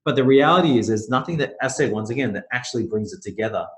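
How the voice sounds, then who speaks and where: A middle-aged man speaks with a stuffy, nasal voice, clearly fighting a sore throat. His pitch sits in the ordinary middle range, and he presents a webinar in loose, rambling sentences, often restarting and adding fillers. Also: animated, very fast, a bit bright. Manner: loose, repetitive phrasing with many restarts and fillers. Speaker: company managing director presenting a webinar.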